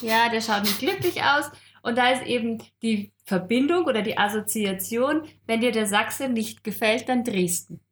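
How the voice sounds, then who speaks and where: Woman podcast co-host, lively and chatty with a raised pitch. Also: moderate pace, slight background noise. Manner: lively and chatty, laughs often. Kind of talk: casual podcast chat.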